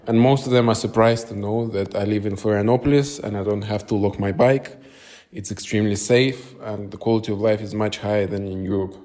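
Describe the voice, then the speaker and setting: A man from Moscow with a Russian accent, answering interview questions conversationally with pauses mid-sentence. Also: fairly low pitch, fairly even intonation, dark tone.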